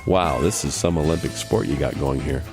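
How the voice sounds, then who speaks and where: A man's voice: a bass voice, brisk, music in the background. A man hosting a radio comedy show with playful banter. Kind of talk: unscripted-sounding comedic banter.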